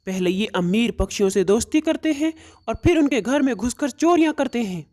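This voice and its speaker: Woman voicing a mellow, high-pitched stern father bird. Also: expressive, brisk, natural voice. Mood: stern, scolding. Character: stern father bird, proud, protective of his daughter. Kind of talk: Hindi cartoon story dialogue.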